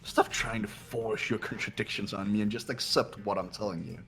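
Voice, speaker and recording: Man acting a haughty, deep-voiced rich young heir. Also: fairly even intonation, moderate pace, fairly bright tone, breathy edge, soft background music. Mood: haughty, cold. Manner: haughty, condescending, formal. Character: arrogant, cold, wealthy young male elite heir. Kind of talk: amateur voiced video game playthrough.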